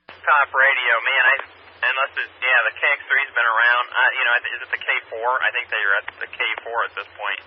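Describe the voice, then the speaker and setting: Over a dull, noisy radio repeater, a man with a mid-pitched voice chats casually. He speaks as a hobby radio operator, rambling and halting as he thinks aloud. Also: level tone. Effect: two-way radio transmission. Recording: muffled, noisy radio repeater picked up by scanner.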